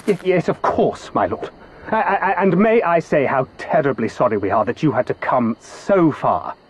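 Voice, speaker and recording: A man's voice: a little high, animated, moderate pace, breathy edge. A man playing a frightened, fawning imperial underling in a video game, nervous and obsequious, tripping over his words. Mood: nervous, servile. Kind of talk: dramatic video game voice acting.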